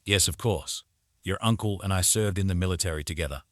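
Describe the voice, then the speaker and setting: A man playing a polite middle-aged military veteran who calls at a mansion, speaking in a courteous, measured way. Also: low-pitched, no room sound, studio quality, close to an everyday voice.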